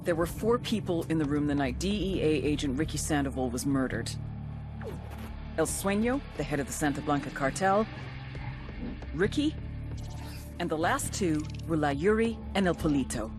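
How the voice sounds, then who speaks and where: An actress playing a driven, hard-nosed intelligence agent in a video game, terse and commanding. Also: female voice, low voice, animated, normal speed, pauses often. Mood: grim, storytelling. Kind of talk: video game dialogue and dramatic briefing narration. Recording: music behind the voice.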